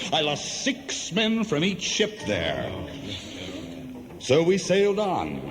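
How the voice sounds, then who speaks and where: Slow, sweeping, theatrical seafaring hero. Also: male voice, fairly high pitch. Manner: theatrical, measured, expressive. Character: Odysseus, crafty, weary seafaring Greek hero telling his troubles. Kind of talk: 1970s radio play acting, storytelling. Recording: noisy, long hall reverb, cassette radio play.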